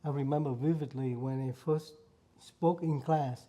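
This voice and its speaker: A man's voice: mid-range voice, fairly even intonation, unhurried, warm tone. A man in his fifties from Vietnam, a Vietnamese accent, earnestly telling his life story as a guest. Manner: earnest, repeats phrases while recalling. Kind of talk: casual conversation.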